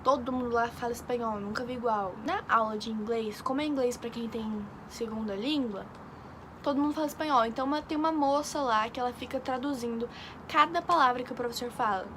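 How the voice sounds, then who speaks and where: A woman's voice: fairly high pitch, fairly quick. A young woman from Brazil, an exchange student, telling a rambling story in casual chat with lots of fillers. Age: young adult. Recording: noisy background.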